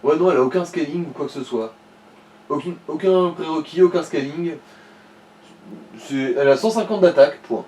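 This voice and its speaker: Dude giving gaming tips, soft dark tone, slightly high, roomy and far from the mic. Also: male voice, normal speed, long pauses, slight background noise. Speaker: let's play companion. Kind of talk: casual gameplay chat and advice. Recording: distant echoey mic, game music behind.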